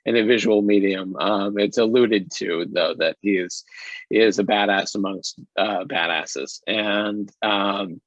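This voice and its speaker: A man answering as an interview guest, a director speaking casually in halting, hesitant phrases. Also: fairly low pitch, steady tone, dry recording. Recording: dry but slightly dull audio.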